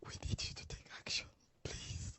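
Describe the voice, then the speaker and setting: A woman from Cameroon in the audience, speaking softly and pleading from far off the mic. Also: moderate pace, dry recording, whispered. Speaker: audience member.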